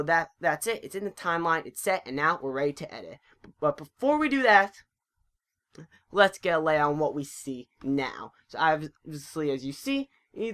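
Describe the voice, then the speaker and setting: Tutorial narrator for a screen recording: informal, chatty, mid-pitched voice, a little stumbling in delivery. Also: bright, forceful tone.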